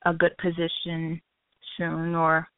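A woman's voice: deep voice, completely flat delivery, takes it slowly, clean tone, no echo. Eager young woman caller on the phone. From Haiti. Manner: eager and rambling, apologetic. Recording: tinny phone line.

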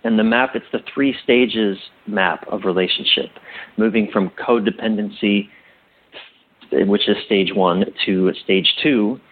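A man being interviewed as a guest, explaining things like a coach in a thoughtful, halting way and repeating phrases for emphasis. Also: on the low side, phone-quality sound.

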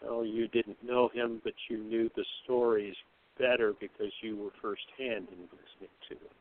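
A man's voice: fairly low pitch, little pitch movement, normal speed. Man chatting over a phone-like line. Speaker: cast member being interviewed. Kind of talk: casual chat. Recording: thin phone-like call audio.

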